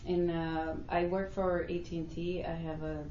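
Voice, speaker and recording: A woman, a grant board member introducing herself quickly and chattily. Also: on the low side, flat delivery, mellow, slight background noise. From Chicago. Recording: echoey room, dull lo-fi sound.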